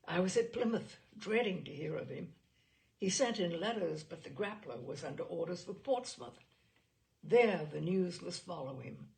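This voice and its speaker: Woman as a creaky-voiced, grieving naval captain. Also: lowish voice, a little mellow, rough recording. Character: grieving, warm-hearted naval captain recounting a friend's devotion.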